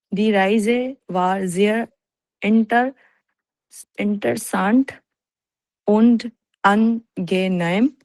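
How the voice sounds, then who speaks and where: A woman from Pakistan presents as a language learner, very slowly and haltingly. She repeats words to correct herself, and the recording is close and dry. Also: medium pitch, a little mellow, dry recording, poor audio. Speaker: language student. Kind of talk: beginner presentation in class.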